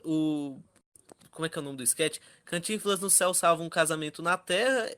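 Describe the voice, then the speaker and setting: A Brazilian man speaks with a fairly high, very bright voice. He talks casually, as a panelist in a fan discussion.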